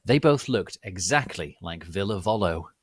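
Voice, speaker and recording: A man hosting a dramatic reading, theatrical and mock-solemn, speaking deliberately with pauses. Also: low-pitched, lively intonation, breathy edge, no room sound. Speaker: host and reader.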